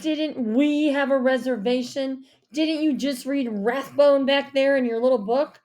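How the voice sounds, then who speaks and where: An excitable suburban dad as a woman storyteller plays him, loud and blustering. He whines and exclaims, pushing his words out with high-pitched insistence. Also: extremely slow. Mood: indignant. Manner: exclaiming, whining. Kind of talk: audiobook character voice.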